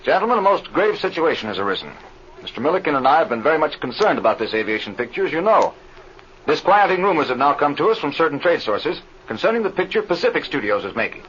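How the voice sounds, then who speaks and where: Fast, formal, agitated director. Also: male voice, a little high, big pitch swings, fairly bright tone. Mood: grave urgency. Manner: clipped, stiffly formal, pompous. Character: grave, formal, agitated male film director. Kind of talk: 1930s radio serial acting. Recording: muffled, noisy old radio recording.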